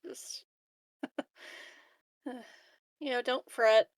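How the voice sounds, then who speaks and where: A woman's voice: fairly high pitch, fairly even intonation, drawn-out words, no echo. A woman playing a supportive, hesitant, slightly giggly colleague in an improvised tabletop roleplay. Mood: reassuring. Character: supportive, reassuring female colony colleague named Simone.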